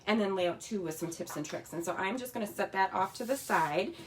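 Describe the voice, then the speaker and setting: A woman with a slightly raspy voice, chatting casually as she demonstrates a scrapbooking kit in her home craft room. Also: mid-range voice, animated, brisk, noisy background. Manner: chatty, talks while working with her hands.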